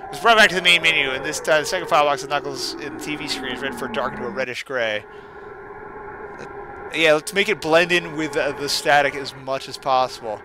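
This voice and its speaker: A man's voice: fairly high pitch, a little fast, background noise. A man giving casual game commentary and reading a story aloud, with sardonic, exasperated asides. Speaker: let's player reading a creepypasta aloud.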